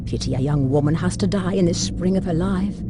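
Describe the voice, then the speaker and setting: A woman's voice: fairly low pitch, brisk, noisy background, close to an everyday voice. An actress playing a motherly, gossipy older servant woman, speaking wistfully in old-fashioned phrases in a game cutscene. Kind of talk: dramatic game cutscene acting.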